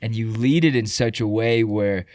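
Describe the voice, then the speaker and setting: A man's voice: fairly low pitch, normal speed, a little mellow. Chatty laughing man host. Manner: chatty, laughs often, many fillers and restarts. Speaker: interview host. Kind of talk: casual interview chat. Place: indoor room at a retreat.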